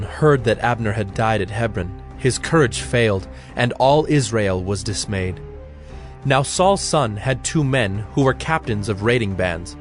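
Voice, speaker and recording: Formal male scripture narrator over music. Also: lowish voice. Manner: measured, formal.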